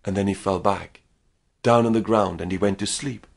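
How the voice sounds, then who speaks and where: A man with an Irish accent telling a folk tale with dramatic pauses, then chatting as a guest. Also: lowish voice, creaky. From Ireland. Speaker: storyteller. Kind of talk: storytelling and interview chat.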